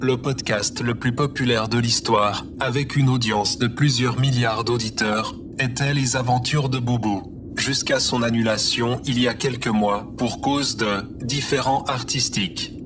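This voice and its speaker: A man voicing a literal-minded computer assistant in an audio drama, measured, formal and deadpan. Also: medium pitch, lightly acted. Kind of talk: scripted audio drama, encyclopedic announcing.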